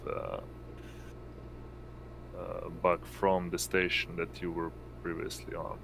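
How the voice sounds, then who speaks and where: Male game master, deep and slow-paced, hesitant delivery while narrating tabletop play. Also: big pitch swings, vocal fry, background noise. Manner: halting, searching for words.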